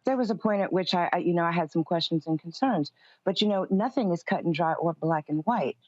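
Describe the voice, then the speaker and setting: A woman speaking as a political commentator in a live interview, measured and careful in how she answers. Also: lowish voice, darkish tone, dry recording, lo-fi sound.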